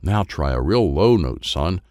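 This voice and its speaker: A man's voice: low-pitched, sing-song, measured pace, a little mellow, no echo, clean studio sound. A man voicing a proud, impatient inventor uncle in a children's storybook, showy and instructing. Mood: commanding, excited. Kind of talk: children's storybook character dialogue.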